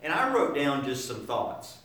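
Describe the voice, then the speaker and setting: A middle-aged man giving a sermon in a conversational, halting way, with frequent restarts and fillers. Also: mid-range voice, big pitch swings, a bit bright. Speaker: preacher. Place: church sanctuary. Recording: echoey room from a distant mic.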